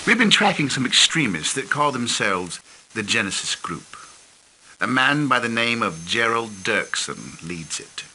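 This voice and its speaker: An actor playing a grave male spymaster who heads a private intelligence group and speaks in a measured, worried way. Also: mid-range voice, sing-song, sharp tone, slight background noise, heavily acted, low-quality recording. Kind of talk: scripted game cinematic.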